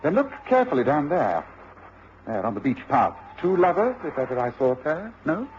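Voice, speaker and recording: A man playing a shrewd, self-assured gentleman detective with a precise, knowing manner in a radio drama. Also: on the high side, big pitch swings, fairly quick. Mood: keenly observant. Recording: dull, noisy radio recording.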